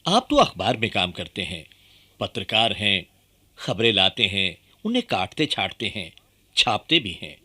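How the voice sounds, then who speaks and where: An actor playing an earnest, curious nationalist activist in conversational radio play dialogue. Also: male voice, fairly low pitch, very animated, measured pace, bright, forceful tone, slightly rough, heavily acted. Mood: curious, concerned. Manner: inquisitive, conversational.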